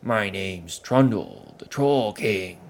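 A man voicing a big, cheerful male troll king who speaks loudly with wry, tough humor, over a noisy background hiss. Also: lowish voice, animated, takes it slowly, voice pushed up. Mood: cheerful, menacing.

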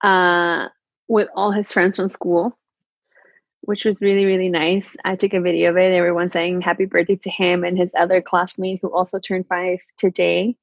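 A woman in her thirties chatting casually and telling stories in an animated way, quoting exchanges as she goes. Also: lowish voice, normal speed, creaky, no room sound. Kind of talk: casual chat. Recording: slightly muffled, lo-fi audio.

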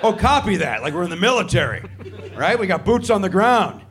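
Animated, wisecracking man on a stage. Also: high voice, fairly quick, background noise. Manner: riffing, sarcastic, profane asides. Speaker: comedy podcast host. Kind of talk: live stand-up banter with the audience. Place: theatre stage before a live audience.

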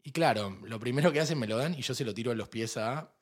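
A young man with an Argentine accent, co-hosting a casual chat and telling stories, jumping into high voices when he quotes people. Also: quick pace. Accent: Argentine Spanish. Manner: animated storyteller, jumps into high put-on voices for quoted people. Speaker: podcast co-host.

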